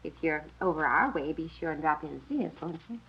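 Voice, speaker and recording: An actress playing a chatty, gossipy middle-aged American neighbor in a 1950s radio drama. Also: female voice, low voice, expressive, fast, creaky, slightly rough, full character voice. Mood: polite. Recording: muffled old radio recording.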